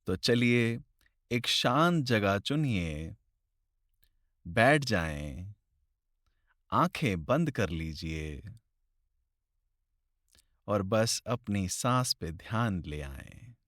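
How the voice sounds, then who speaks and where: A man leading a guided meditation in slow, deliberate phrases with long pauses, recorded close to the mic. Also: fairly low pitch, very animated, a bit bright, no echo.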